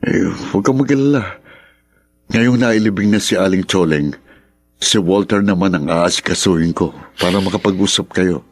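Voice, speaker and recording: A sympathetic young friend in a radio drama. His voice is on the low side and closely recorded, earnest and a little hesitant. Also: moderate pace, no echo. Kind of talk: Tagalog radio drama acting.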